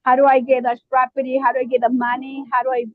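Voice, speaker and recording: A woman from Mexico with a Mexican Spanish accent, a real estate investor talking casually on a video call, often pausing and searching for words. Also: high-pitched, completely flat delivery, narrow, tinny audio. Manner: halting, searching for words, many fillers. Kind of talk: casual online meeting chat.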